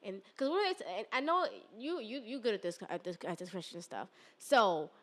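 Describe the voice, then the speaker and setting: A woman's voice: mid-range voice, moderate pace. A woman podcast host talking earnestly in a casual spiritual chat, a little congested. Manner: earnest, animated, breaks into song.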